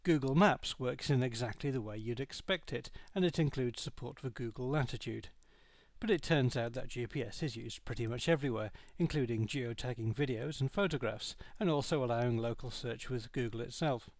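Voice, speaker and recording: A man reading a scripted product review as narration, in a measured, matter-of-fact way. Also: medium pitch, no room sound.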